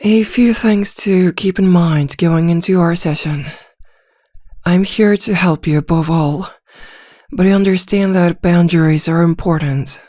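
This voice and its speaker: A woman playing a composed, gentle therapist in an ASMR roleplay, speaking softly in a measured, reassuring way with thoughtful pauses. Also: on the low side, no room sound, clean studio sound. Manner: measured, reassuring, hesitant pauses with soft hums. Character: composed female therapist, gentle, attentive and discreetly probing. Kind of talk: soft-spoken ASMR roleplay acting.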